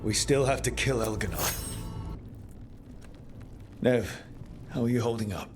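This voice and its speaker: An actor playing a devoted, resolute heroic leader in a video game, earnest and protective in delivery. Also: male voice, medium pitch, animated, unhurried, over music, lightly acted. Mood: bitter, determined. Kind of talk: dramatic video game cutscene acting.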